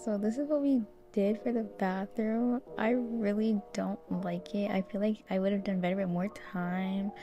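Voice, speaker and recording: Bright-toned young woman, mellow game chatter. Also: mid-range voice. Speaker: gaming video host. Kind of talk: casual chatty game commentary.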